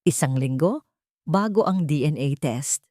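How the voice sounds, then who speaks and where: An urgent male aide, played by a woman narrator reports to his boss in an audiobook drama. The phrases are clipped and respectful, the pitch animated, the sound dry. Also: a little slow, dry recording.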